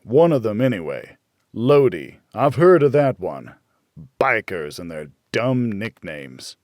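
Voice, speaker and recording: A man's voice: fairly low pitch, big pitch swings, slow, darkish tone, dry recording, studio quality. Man playing blunt, worried older pal. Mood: informative. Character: worried, blunt, exasperated older male friend, father.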